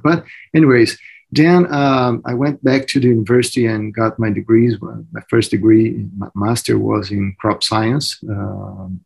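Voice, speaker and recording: A man in his forties with a Brazilian accent, answering interview questions in halting English with many fillers and restarts. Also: lowish voice, vocal fry, darkish tone, husky, low-quality recording. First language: Portuguese. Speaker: agronomist guest.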